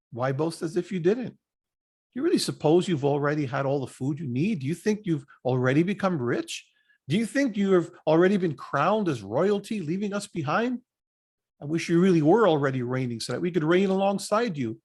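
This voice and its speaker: A man reading scripture aloud expressively, with an emphatic, deliberate teaching delivery. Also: fairly high pitch, darkish tone, husky. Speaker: scripture reader.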